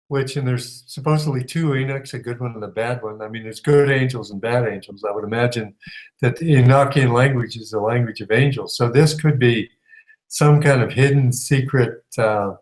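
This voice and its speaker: A man's voice: medium pitch, poor audio. A man appearing as a guest on a talk show, speaking hesitantly with many fillers and speculating aloud.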